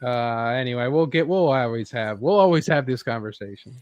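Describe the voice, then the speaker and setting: A man hosting a casual pop-culture panel, talking in a rambling, halting way and swearing freely. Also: medium pitch, lively intonation, clear, resonant tone, no room sound, highs cut off. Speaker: host of a panel show.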